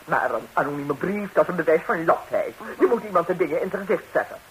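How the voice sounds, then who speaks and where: Flustered female busybody, played by an actress, stammering, very lively intonation, hissy 1960s radio comedy. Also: fairly low pitch, fast talker, lightly acted, poor audio. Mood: brazen. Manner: flustered, stammering, repeating herself. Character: nervous, nosy, talkative married lady, indiscreet busybody visitor.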